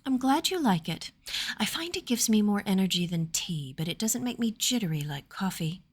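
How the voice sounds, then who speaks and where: A woman narrator voicing a gentle, anxious young noblewoman in a fantasy story, speaking softly and earnestly. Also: medium pitch, lively intonation, moderate pace, slightly rough, voice pushed up. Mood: bright, explanatory.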